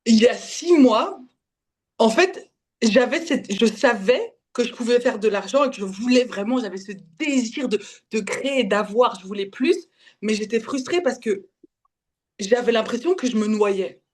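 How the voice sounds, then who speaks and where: A woman's voice: a little high, lively intonation, darkish tone. A woman in her twenties from Belgium, giving a casual personal account, often correcting herself and slipping in English words. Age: 20s. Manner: halting, self-correcting, slips in English words. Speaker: guest, women's spiritual development guide. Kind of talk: casual personal testimony in conversation.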